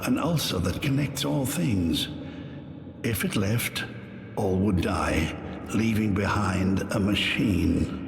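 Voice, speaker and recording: Slow, scornful male plague herald. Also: on the low side, animated, gravelly, heavily acted. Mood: ominous. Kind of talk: theatrical game monologue. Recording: echoey hall, long reverb, music behind. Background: music.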